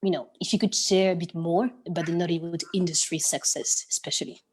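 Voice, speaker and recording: A woman originally from the Republic of the Congo, who grew up in France, politely asking a question on a video call in a measured, slightly hesitant way. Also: lowish voice, steady tone, slightly rough. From Republic of the Congo, raised in France. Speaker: audience member asking a question. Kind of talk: online Q&A question.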